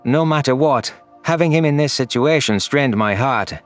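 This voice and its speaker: A man narrates a science fiction audio story in a scripted first-person style. His voice sits at an ordinary middle pitch, with faint music underneath. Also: moderate pace. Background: faint background music.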